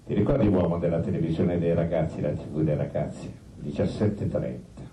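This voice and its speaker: A man telling stories in a live theatrical monologue, conversational and colloquial, with halting repetitions. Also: deep voice. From Italy. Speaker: stage storyteller. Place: live performance space with musicians. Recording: roomy live stage pickup, distant, faint noise.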